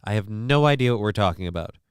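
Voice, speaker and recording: A man's voice: lowish voice, animated, fast, smooth, no room sound, studio quality. A man from Minnesota hosting a casual live show, rambling and swearing while he answers the chat, with lots of restarts and fillers.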